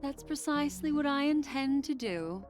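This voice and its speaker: A woman's voice: high-pitched, expressive, normal speed, a little mellow. A woman voicing an elegant, mysterious memory keeper in a video game, velvety, courteous and faintly teasing. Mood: poised. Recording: game dialogue over music.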